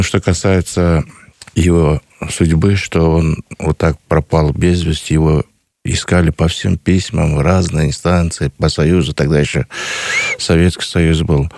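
A man, answering a radio interviewer earnestly and slowly, with many pauses. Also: a bass voice, a little mellow, husky, dry recording. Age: 50s. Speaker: guest, grandson of a missing soldier.

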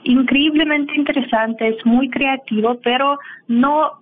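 A Russian woman with a Russian accent, speaking Spanish as a second language. She is a guest in an interview, halting and searching for words with frequent fillers. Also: high-pitched, steady tone. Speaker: guest, language teacher and film translator. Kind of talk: casual interview over a call. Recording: tinny phone line.

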